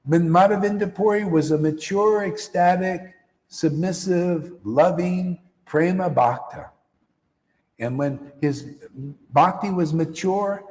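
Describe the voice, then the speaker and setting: Guy giving a spiritual talk, kinda high voice, keeps saying man, big echoey hall sound. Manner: animated, slangy, repeats phrases, calls listeners "man". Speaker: spiritual teacher. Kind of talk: casual live-stream talk.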